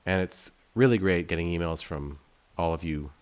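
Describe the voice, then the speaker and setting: A man's voice: sits low, normal speed. A man giving casual gameplay commentary as a video host, rambling and thinking aloud in a self-deprecating way. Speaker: game developer and video host playing his game.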